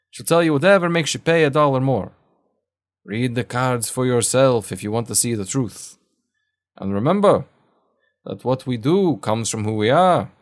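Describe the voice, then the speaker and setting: A man voicing a kindly, cryptic old man who gives folksy, unhurried advice in an audio drama. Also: medium pitch, voice pushed up, close to an everyday voice. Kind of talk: audio fiction character voice.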